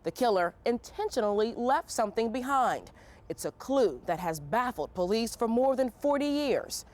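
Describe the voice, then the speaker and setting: A woman's voice: fairly high pitch, expressive, moderate pace, darkish tone. A woman narrating a scripted television news story. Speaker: TV news reporter.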